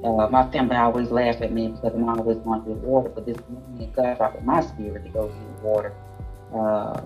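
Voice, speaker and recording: A woman's voice: a bass voice, flat delivery, fairly quick, dull audio, soft background music. A woman caller sharing a rambling testimony with many fillers. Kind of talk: casual testimony.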